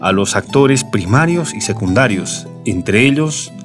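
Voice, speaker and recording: A man's voice: on the low side, animated, a little slow. Male documentary narrator over guitar music. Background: plucked guitar music.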